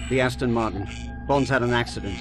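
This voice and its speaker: An actor playing a dutiful British intelligence aide in a video game, reporting in a measured, businesslike way. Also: male voice, medium pitch, loud music behind the voice, close to an everyday voice. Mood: concerned.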